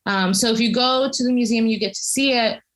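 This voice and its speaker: A woman speaking as an interviewed cultural consultant and academic, giving articulate, measured, detailed explanations in a conversational way. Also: on the high side, a bit bright.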